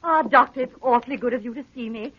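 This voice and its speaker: A woman's voice: a little high, quick pace, husky. A woman acting an anxious, pleading society lady in 1930s radio drama, fluttery and insistent. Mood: flustered, pleading. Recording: muffled 1930s radio broadcast.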